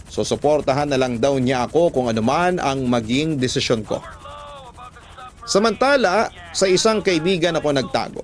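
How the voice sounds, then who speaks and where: A man with a Filipino accent reading a listener's love letter as a scripted first-person radio narration. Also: mid-range voice.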